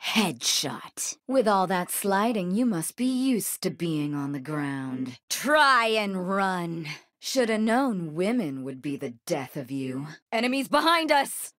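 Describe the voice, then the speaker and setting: A tough female space bounty hunter from a shooter game, speaks in a dry, close recording. She takes her time with a wry swagger, and her pitch moves widely between cool taunts and combat calls. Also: sing-song, a bit bright, no room sound, exaggerated voice. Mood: cool, cocky, then urgent, shouting orders. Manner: swaggering, deliberate, wry delivery. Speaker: video game character voice. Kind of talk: video game voice lines, short combat barks and quips. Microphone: close studio microphone, dry.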